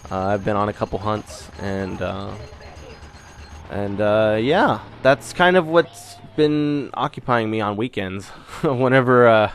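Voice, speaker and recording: Rambling young man, low voice, just over a cold. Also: takes it slowly, a little hiss or hum. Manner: rambling, many fillers and restarts. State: recovering from a cold. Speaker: gaming channel host. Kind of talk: casual spoken channel update.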